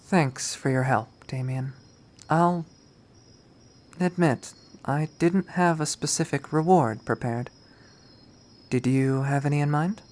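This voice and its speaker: A man's voice: fairly high pitch, takes it slowly, pauses often, a little mellow. A cool and guarded young woman from a visual novel, voiced by a man reading it aloud. She speaks in curt, formal phrases with dry authority and keeps her reserve. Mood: cool, measured.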